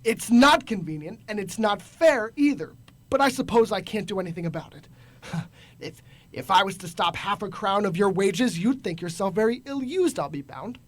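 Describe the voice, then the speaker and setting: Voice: medium pitch, expressive, normal speed, close to an everyday voice. An actor playing a grumpy, miserly old businessman in a radio drama, scornful, curt and barking. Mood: grudging.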